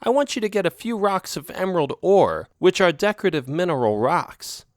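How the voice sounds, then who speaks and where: A man's voice: high voice, lively intonation, moderate pace, dry recording, studio quality, pitched above the performer's own voice. A man voicing a pushy, dramatic young female cat who whines and sobs to get her way. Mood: coaxing. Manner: whiny, tearful, manipulative.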